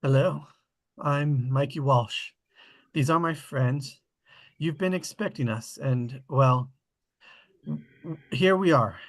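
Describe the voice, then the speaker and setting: A man reading the part of an earnest, hopeful boy adventurer at a script table read, in a slow, hushed delivery. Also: medium pitch. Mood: reverent.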